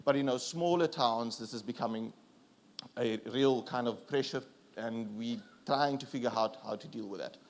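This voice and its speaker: A South African man whose first language is Afrikaans, giving a relaxed, self-deprecating conference presentation with fillers and restarts. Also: medium pitch, normal speed. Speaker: conference speaker.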